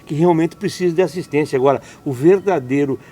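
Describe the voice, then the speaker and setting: A man's voice: a little high, brisk, dark tone. Indignant Brazilian man hosting an interview. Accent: Brazilian Portuguese. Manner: indignant, talks in long run-on sentences with many restarts. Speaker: interview host. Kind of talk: conversational interview.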